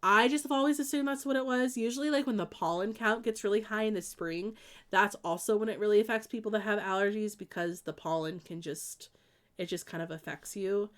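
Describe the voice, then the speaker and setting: A woman's voice: mid-range voice, brisk. A young woman from the Midwest, stuffed up with allergies, chatting casually with viewers on a live stream and rambling with lots of ums and likes. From American Midwest.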